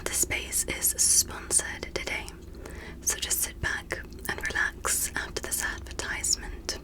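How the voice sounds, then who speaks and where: A gentle alien medical examiner, acted by a woman in an ASMR sci-fi roleplay. The voice stays in a breathy whisper, with slow, deliberate phrasing. The manner is polite and reassuring, made to soothe. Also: lightly acted, poor audio. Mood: calm, reassuring.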